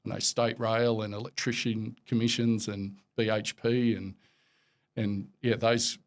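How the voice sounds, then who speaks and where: Aussie guy in his 40s, lowish voice, chatty and easygoing, lots of ums. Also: a little slow. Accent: Australian. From Australia. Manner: relaxed, rambling, many fillers and restarts. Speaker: podcast guest, company executive. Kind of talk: casual interview chat.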